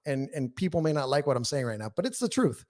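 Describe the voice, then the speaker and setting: A man's voice: a little high, very animated, fast, a little mellow. A man speaking as a podcast guest, explaining things candidly and emphatically in casual interview talk. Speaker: podcast guest, newsletter writer.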